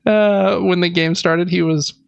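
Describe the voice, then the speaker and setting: A man's voice: sits high, fairly even intonation, unhurried, vocal fry, clear, resonant tone, no room sound, highs cut off. An American man in his thirties chatting casually as a podcast co-host, rambling along with self-deprecating humor. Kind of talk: casual chat.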